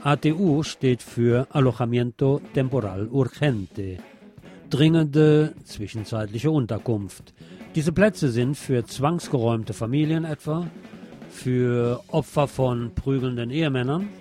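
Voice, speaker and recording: A man's voice: mid-range voice, unhurried, dark tone, faint music behind. A man presenting scripted magazine news on the radio, with a wry, ironic touch in his delivery.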